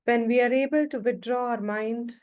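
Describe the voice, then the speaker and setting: A woman leading a guided meditation as a teacher, speaking calmly in short phrases with long pauses between them. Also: a little high.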